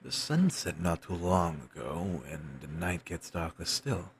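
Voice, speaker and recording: A man playing a wistful, self-doubting wandering bard in an intimate audio roleplay, musing in courteous, formal phrases with thoughtful pauses and a gravelly, husky voice. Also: deep voice, big pitch swings, a little hiss or hum, heavily acted. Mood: courteous, pensive. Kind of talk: intimate one-sided audio roleplay acting.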